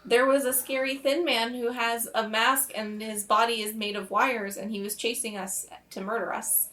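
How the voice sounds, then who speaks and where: Sarcastic teen daughter, voiced by a woman, fairly high voice, incredulous and protesting, tabletop roleplay. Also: fairly even intonation, normal speed, fairly bright tone, close to an everyday voice. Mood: blurting. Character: indignant, sarcastic teenage girl, evasive daughter.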